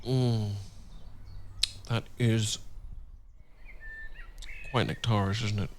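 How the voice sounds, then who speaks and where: A British man playing a tender, devoted Regency gentleman husband in an intimate ASMR roleplay, speaking gently and a little hesitantly in formal period phrasing. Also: lowish voice, fairly even intonation, drawn-out words, a little hiss or hum. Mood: gentle, chatty. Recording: outdoor birdsong ambience behind voice. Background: birdsong and outdoor ambience.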